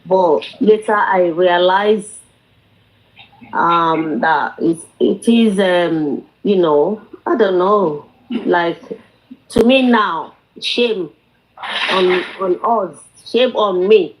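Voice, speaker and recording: Woman, middle-aged, Nigerian accent, native Yoruba speaker, unhurried pace, hesitant casual talk. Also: lowish voice, low-quality recording. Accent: Nigerian Yoruba-language. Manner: hesitant, halting, often says "you know". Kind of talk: casual chat.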